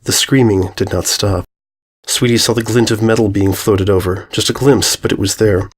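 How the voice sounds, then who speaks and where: A man's voice: on the low side, steady tone, normal speed, husky. A man giving a scripted narration of a horror fan fiction story.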